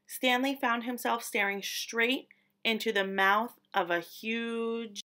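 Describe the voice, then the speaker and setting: High-voiced woman reading a children's story slowly. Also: fairly even intonation, bright, forceful tone. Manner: deliberate, dramatic emphasis on descriptive words. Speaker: read-aloud storyteller. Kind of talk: expressive children's story narration.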